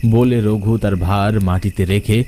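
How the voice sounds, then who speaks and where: A man's voice: on the low side, fairly even intonation, unhurried, mellow, a little hiss or hum. A man narrating a scripted horror story in a dramatic storytelling style.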